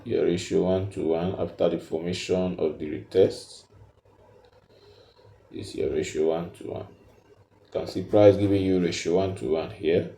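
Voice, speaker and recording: A man giving an unscripted step-by-step tutorial in a halting, repetitive, instructional way, recorded in an echoey room. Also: low-pitched, fairly even intonation, vocal fry, warm tone. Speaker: trading tutorial presenter.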